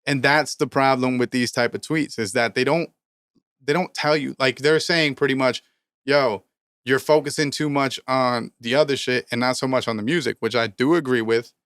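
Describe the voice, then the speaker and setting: A thirty-year-old man co-hosting a podcast, pushing his point hard in a steady mid-range voice. He talks with heavy swearing and motivational emphasis, and his voice sounds smooth and resonant on a dry close mic. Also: medium pitch, normal speed, dry recording. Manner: emphatic, profane, preachy motivational rants. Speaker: podcast co-host, rapper. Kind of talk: casual podcast chat.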